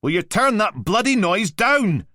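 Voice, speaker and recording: A man voicing an overwrought male police sergeant who shouts and rants. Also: high voice, sing-song, a little slow, no echo, studio quality, pitched above the performer's own voice, exaggerated voice. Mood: furious. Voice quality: shouting, ranting.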